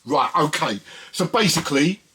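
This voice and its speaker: A man's voice: a little high, lively intonation, moderate pace, pushed and bright, slightly rough. A man hosting a music video series, talking casually and directly to his viewers in a conversational way. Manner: conversational, addresses viewers directly with frequent "listen" and "yeah". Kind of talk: casual chat to viewers about records and samples.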